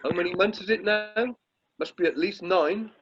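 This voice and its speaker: A man's voice: high-pitched, normal speed, music in the background, close to an everyday voice. An amateur actor playing a pompous, irritable middle-aged British drama society chairman in a radio play. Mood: wistful, grand. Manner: pompous, self-dramatising, exasperated. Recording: muffled, lo-fi video call audio.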